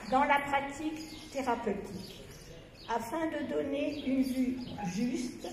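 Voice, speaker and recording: A woman at a tribute event reading a prepared text aloud in a slow, formal, measured way, pausing between phrases. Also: high voice, creaky, a little hiss or hum. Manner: measured, deliberate delivery with long pauses between phrases. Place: large reverberant venue at a festival. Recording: echoey hall with long reverb, faint birdsong.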